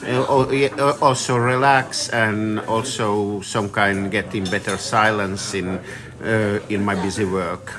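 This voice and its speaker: Low-voiced man, halting English. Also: fairly even intonation, a crowd in the background. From Finland. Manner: halting non-native English with restarts. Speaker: pilgrim interviewee.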